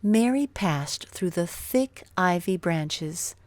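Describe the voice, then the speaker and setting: A woman narrating a scripted children's story in an expressive, deliberate storytelling manner. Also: lowish voice, dry recording.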